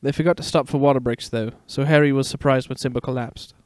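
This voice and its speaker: A man's voice: medium pitch, steady tone, fast, darkish tone, no echo. A man narrating a scripted story with a dramatic storytelling delivery.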